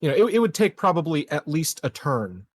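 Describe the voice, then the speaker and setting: Guy at a game session, kinda hesitant, thinking out loud, really dry audio. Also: fairly high pitch, very animated, brisk, no room sound. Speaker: tabletop game player. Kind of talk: casual chat during an online tabletop roleplaying game.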